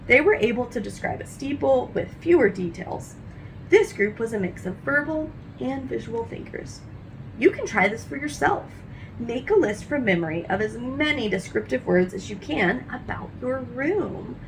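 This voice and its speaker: Lively high-voiced woman reading aloud. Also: gravelly, a little hiss or hum, rough recording. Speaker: read-aloud host. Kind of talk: expressive read-aloud of a nonfiction book for children.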